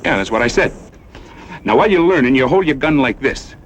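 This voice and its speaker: A man's voice: mid-range voice, animated, brisk, a little hiss or hum, natural voice. An actor playing a boastful, folksy cowboy gunman who teaches shooting in an old western film, with a drawling rustic way of talking. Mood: cocky, instructive.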